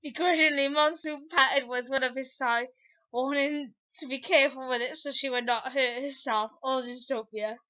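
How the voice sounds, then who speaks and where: High, bright-voiced woman reading theatrically. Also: fairly even intonation, moderate pace, muffled recording. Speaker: narrator. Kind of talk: comic dramatic reading of fan fiction.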